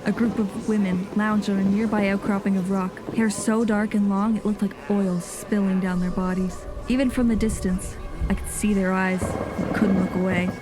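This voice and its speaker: A woman's voice: medium pitch, steady tone, moderate pace, close to an everyday voice. A woman playing a grave, haunted nineteenth-century sea captain reading a log in solemn, formal diction for an audio drama. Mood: haunted, brooding. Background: music.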